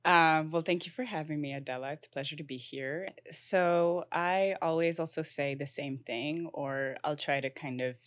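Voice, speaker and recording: A young woman from Morocco, speaking thoughtfully as an interview guest with frequent pauses and fillers. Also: sits low, fairly even intonation, no room sound. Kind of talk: conversational interview.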